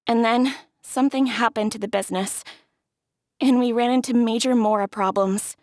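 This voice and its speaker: A woman as an earnest, high-voiced young bride-to-be. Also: steady tone, moderate pace, dry recording, studio quality, close to an everyday voice. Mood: wistful. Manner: earnest, gentle, hesitant storytelling. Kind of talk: video game character acting.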